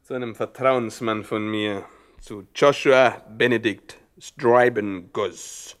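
Ein Schauspieler spielt einen herrischen, ungeduldigen Bandenchef in einer Krimikomödie im Radio, knapp, kommandierend und spöttisch. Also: male voice, fairly low pitch, steady tone, slow pace, slight background noise. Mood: condescending. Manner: commanding, curt, mocking. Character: gruff, bossy male gang boss, impatient and scornful. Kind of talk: 1960s German radio crime comedy acting.

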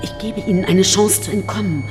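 A woman's voice: medium pitch, brisk, lightly acted. An actress playing a cold, commanding Soviet woman scientist who guards a state secret in a radio drama. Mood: urgent, commanding. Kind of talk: German radio drama acting. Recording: reverberant hall, music underneath.

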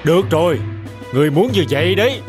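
A man playing a sly, greedy, bullying stranger in a children's animated fairy tale. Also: a little high, fast talker, music in the background, lightly acted. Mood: impatient, threatening.

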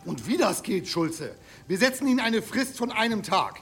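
A man's voice: sits high, very animated, normal speed, fairly bright tone, faint music behind, exaggerated voice. A man acting a hot-headed, scornful goat farmer stirring up villagers in a German radio drama. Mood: inciting. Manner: sneering, agitating.

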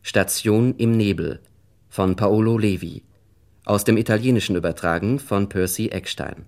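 A man working as a radio announcer, reading scripted credits in a measured, formal manner. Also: fairly low pitch, a little mellow.

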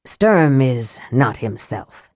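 A woman voicing a cold, sardonic young male mage who speaks dryly, flatly and curtly in a lowered voice. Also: moderate pace, no echo. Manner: dry, flat and clipped.

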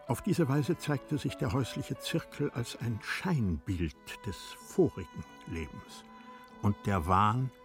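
A man narrating a tragic classic story in a radio play, in a solemn, measured, dramatic manner. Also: on the low side.

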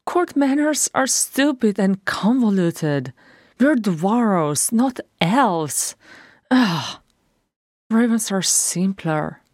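A woman's voice: expressive, extremely slow, no echo, studio quality. A woman voicing a blunt, impetuous dwarf woman who grumbles and complains. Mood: grumbling. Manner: higher, more emphatic voice than her narration.

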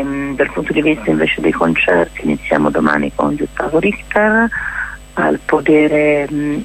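A woman with a husky, gravelly voice, explaining things in an informal radio interview with hesitant, drawn-out words. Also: deep voice, low-quality recording. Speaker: festival organiser and performer, interview guest.

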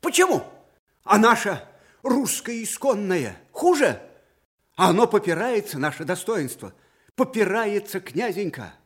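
An actor playing a proud old Russian field marshal, fiery and sardonic, in theatrical, emphatic radio drama style. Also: male voice, high voice, big pitch swings, measured pace. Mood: indignant. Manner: theatrical, emphatic, with repeated phrases. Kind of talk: Soviet-era radio drama acting.

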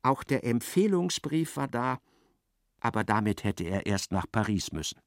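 An actor narrating a literary radio play, an expressive and ironic storyteller. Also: male voice, medium pitch, normal speed, no echo, studio quality. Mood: wry narration. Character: wry literary narrator of a novel, male.

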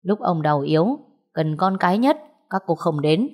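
Woman voicing a calm, protective young husband. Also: fairly low pitch, moderate pace, husky. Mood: quietly stern.

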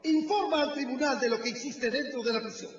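Stern high-pitched judge. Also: male voice, a little fast. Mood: stern, official. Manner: declamatory, imperious, lecturing. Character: stern, mocking revolutionary tribunal judge, man. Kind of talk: radio drama acting. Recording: dull echoey radio recording, noisy crowd.

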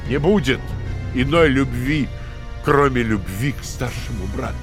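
An actor playing a cruel, domineering party interrogator who lectures theatrically, swinging from hushed to emphatic, in an audio drama. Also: male voice, mid-range voice, expressive, measured pace, music in the background, natural voice. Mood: cold, menacing. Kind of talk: dramatic audio play dialogue.

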